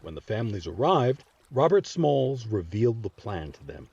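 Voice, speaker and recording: Guy narrating history doc, very lively up n down delivery, kinda dull muffled recording. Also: on the low side, moderate pace, husky, no room sound. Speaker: narrator and host of a history series. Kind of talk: scripted documentary narration.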